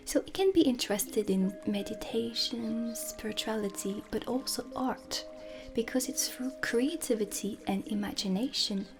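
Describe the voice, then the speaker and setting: A woman gives an ASMR lesson in the role of a dark witch teacher. Her delivery is very unhurried and breathy, slipping between soft speech and whispering, with deliberate pauses. Ambient music plays clearly behind her. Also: a little high.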